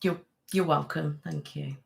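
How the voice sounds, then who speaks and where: A woman's voice: sits low, steady tone, a little slow, gravelly. A young woman hosting a casual live-stream chat, greeting viewers by name and talking about how tired she is after a house move. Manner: chatty, thanks viewers by name, frequent "um" and "literally". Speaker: ASMR channel host.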